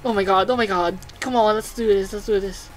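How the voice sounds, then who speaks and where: A woman doing let's play commentary, excitable and sweary, repeating phrases rapidly as she reacts and reads game text. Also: mid-range voice. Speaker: video game streamer. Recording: game music behind the voice. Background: video game music.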